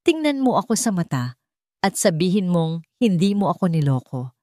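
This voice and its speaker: A woman's voice: fairly low pitch, big pitch swings, normal speed, dark tone, no echo, clean studio sound. A woman voicing a bitter, wounded husband who accuses and commands in a mocking way, in a dramatic audio story. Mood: hurt accusing.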